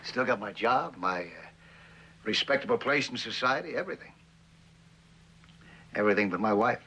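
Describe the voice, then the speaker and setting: An actor in his forties with an American accent playing a guilt-ridden, bitterly self-mocking husband in a halting, hesitant 1960s television drama style. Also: mid-range voice, animated, bright, forceful tone. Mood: bitter self-mockery. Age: 40s. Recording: noisy vintage television soundtrack.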